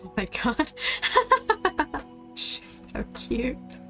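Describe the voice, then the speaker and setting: A woman's voice: high-pitched, sing-song, very slow. A woman streaming a game, giving casual, playful live commentary and reacting aloud. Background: game music.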